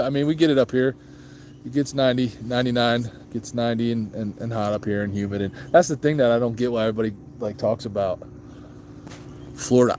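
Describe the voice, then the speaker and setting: A man with a low, easygoing voice chats with viewers in a casual vlog. He rambles, trails off and restarts, throwing in ums as he answers questions. A faint hiss of background noise runs under him. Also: darkish tone. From grew up moving around as an Army child. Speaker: motorcycle vlog host reading live chat.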